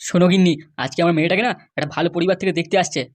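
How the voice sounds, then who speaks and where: A scheming middle-aged village politician, played by a man, blusters at extreme speed in a comic cartoon. He is touchy and defensive, and the voice is artificially pitched up. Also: steady tone, rapid-fire.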